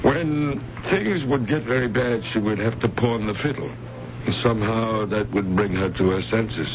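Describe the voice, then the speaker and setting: An actor playing a sympathetic, insistent pawnshop owner in a radio drama, speaking earnestly and pleadingly. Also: male voice, on the low side, moderate pace, natural voice. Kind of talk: 1970s radio drama acting. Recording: muffled, noisy old radio broadcast.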